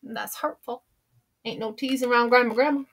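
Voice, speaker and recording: A woman's voice: on the high side, steady tone, a little fast, a bit bright. A woman voicing a folksy old grandma who reads a storybook aloud in a chatty, homespun, theatrical way. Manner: chatty and theatrical, gasping and exclaiming.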